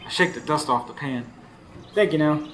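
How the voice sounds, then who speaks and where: A man's voice: on the high side, normal speed, a bit bright, natural voice. An actor playing an earnest, weary young stable hand who narrates his own story in a radio drama. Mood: reflective. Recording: live stage recording, faint background noise.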